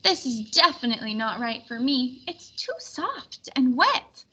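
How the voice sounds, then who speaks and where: A woman's voice: sits high, sing-song, a little slow, pushed and bright, husky, muffled recording, exaggerated voice. A woman voicing a fussy, determined little female daisy in a storybook, complaining in an emphatic, particular way.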